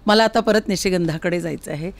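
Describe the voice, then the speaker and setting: A woman's voice: lowish voice, fairly quick. A woman hosting a casual interview, chatting in an encouraging, exclaiming way. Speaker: interviewer, host.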